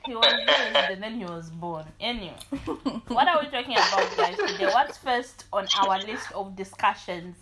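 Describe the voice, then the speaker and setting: A woman's voice: medium pitch, animated, moderate pace, over a noisy crowd. A woman, co-hosting a casual chat, laid-back and giggly. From Zambia. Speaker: co-host.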